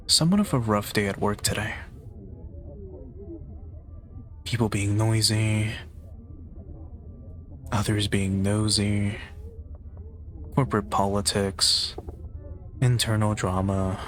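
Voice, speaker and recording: Slow, soft-spoken man acting as a tender boyfriend. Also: lowish voice. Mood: tender, reflective. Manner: tender, unhurried, intimate, with pauses. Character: gentle, affectionate, teasing male partner offering comfort. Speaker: ASMR roleplayer. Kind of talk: soft-spoken ASMR roleplay.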